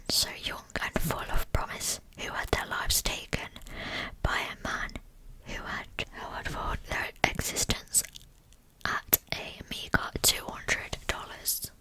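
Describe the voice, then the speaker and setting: A woman narrating a scripted true-crime account as ASMR in a breathy pure whisper, with soft, deliberate, unhurried phrasing. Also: rough recording.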